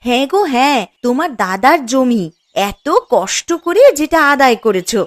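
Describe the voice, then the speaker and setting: A woman's voice: sits high, lively intonation, normal speed, dry recording. A woman voicing a chatty, admiring and conniving village wife in a moral-story cartoon. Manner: chatty, familiar. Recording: music under the voice.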